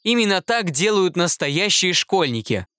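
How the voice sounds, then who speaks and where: A man voicing a kind, wise male hedgehog teacher from a children's storybook, speaking calmly. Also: high voice, normal speed, dry recording, studio quality, exaggerated voice. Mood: approving. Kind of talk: storybook character voice.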